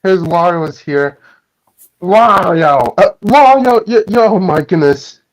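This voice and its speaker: Animated, excitable companion voice. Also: mid-range voice, slow, rough recording. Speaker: companion commenting on the reading. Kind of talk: casual, excitable interjections.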